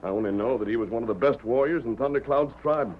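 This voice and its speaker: An actor playing a brave, righteous cowboy hero with earnest, commanding, proper diction in a 1930s radio western. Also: male voice, mid-range voice, fast talker, slight background noise, natural voice. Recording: muffled old 1930s radio recording.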